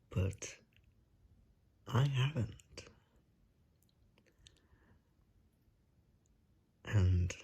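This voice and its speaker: Suave ASMR vampire, acted by a man: very breathy and husky, unhurried, politely menacing and seductive. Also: fairly low pitch, mellow, exaggerated voice. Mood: silky, coaxing. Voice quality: breathy, husky, half-whispered. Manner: courtly, drawn-out, seductive menace. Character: suave, predatory vampire, coaxing and darkly polite. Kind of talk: ASMR roleplay, slow and whispery.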